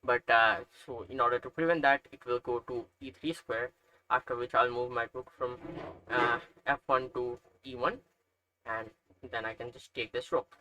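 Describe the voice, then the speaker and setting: A man's voice: medium pitch, steady tone, moderate pace, sharp tone, dull audio. Nepali-accented young man thinking aloud. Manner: thinking aloud, hesitant with frequent uhs and self-corrections. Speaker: chess learner narrating puzzles. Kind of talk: casual screen-recorded commentary.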